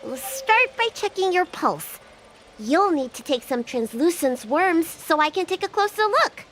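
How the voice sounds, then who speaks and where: A young girl healer from a video game, with a high, very animated voice. She is earnest and easily flustered, carrying herself like a proud little professional. Music plays behind her. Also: unhurried, full character voice. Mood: earnest, bossy.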